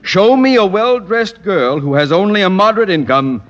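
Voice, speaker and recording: A pompous, moralizing school principal in a 1940s radio comedy. His delivery is stern and formal, with wide swings of pitch. The old recording is muffled, with a long hall reverb. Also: animated, moderate pace. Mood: indignant. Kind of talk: 1940s radio sitcom acting.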